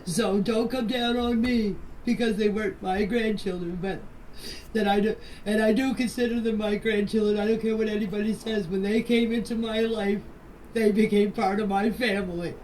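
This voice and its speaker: A woman's voice: mid-range voice, steady tone, normal speed, a little mellow. An older woman from Canada gives an earnest, defensive personal account in a voice message, insisting on her points and repeating them. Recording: distant, noisy phone voice message.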